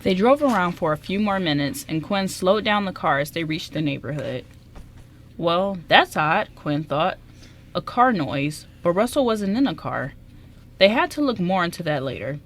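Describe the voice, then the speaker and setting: A woman's voice: lowish voice, big pitch swings, moderate pace. A woman reading scripted story narration as the narrator of a radio drama.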